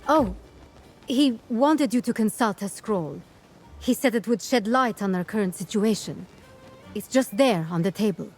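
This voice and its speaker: An actress playing an earnest, caring young scholar in a fantasy game, expressive and articulate. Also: female voice, mid-range voice, a little slow, soft background music, lightly acted, poor audio. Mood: concerned, confiding.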